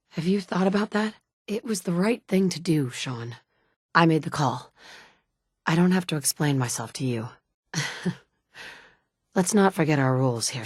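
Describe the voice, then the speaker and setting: A woman's voice: on the low side, a little mellow, breathy edge, no room sound, studio quality. An actress in her thirties playing a blunt, sardonic mother in a game, with clipped one-liners. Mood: somber. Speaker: player character. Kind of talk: video game dialogue lines. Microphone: studio mic.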